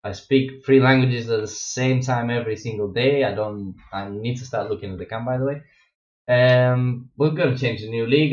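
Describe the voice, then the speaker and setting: A man's voice: mid-range voice, darkish tone. A young Russian-born man with a Russian accent, chatting casually as a streamer in his gaming room, rambling with many fillers and restarts. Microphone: wireless headset. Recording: echoey, dull lo-fi home mic.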